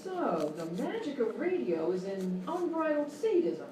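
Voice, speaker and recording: An actress playing a sceptical, indignant sidekick in live radio sketch banter, sarcastic and exclamatory. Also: female voice, on the high side, sing-song, a little slow, a loud crowd. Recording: echoey live stage, distant mic, noisy.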